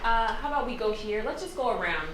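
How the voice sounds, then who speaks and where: A woman speaker closing her talk and taking audience questions in a relaxed, brief way. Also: fairly high pitch, fast talker. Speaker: seminar speaker. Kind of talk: academic talk and Q&A. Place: seminar room. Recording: echoey room with noise.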